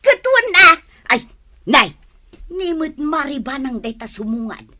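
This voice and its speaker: A woman's voice: high voice, sing-song, unhurried, sharp tone, a little hiss or hum, exaggerated voice. An actress playing a teasing, exclaiming village neighbour woman with an Ilocano accent in a comic radio drama. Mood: boisterous.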